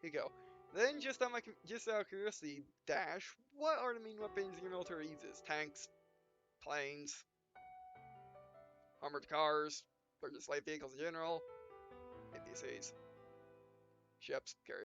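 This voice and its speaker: A woman doing let's play commentary, reading game dialogue aloud in a halting way and often correcting herself. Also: low voice, fairly bright tone. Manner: halting, stumbling read-aloud with frequent self-corrections. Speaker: gaming video host. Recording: dull, muffled lo-fi microphone. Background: game music.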